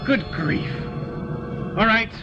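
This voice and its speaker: Exasperated commanding colonel played by a man, clipped orders, unusually high lively voice, dull sound, music underneath. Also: slow pace. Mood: harried. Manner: clipped, authoritative. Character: brisk, exasperated male military colonel in command. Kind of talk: audio drama acting.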